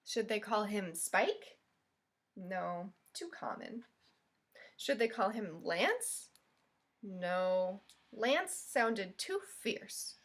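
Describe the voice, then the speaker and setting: A woman reading a picture book aloud to young children as a library storyteller, expressive and pausing to ask them questions. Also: mid-range voice.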